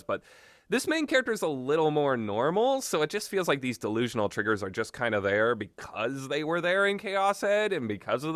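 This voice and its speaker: A man's voice: medium pitch, a bit bright, no room sound, clean studio sound. A man giving a casual, unscripted, rambling review of visual novels, blunt and opinionated, often backtracking and going off on tangents.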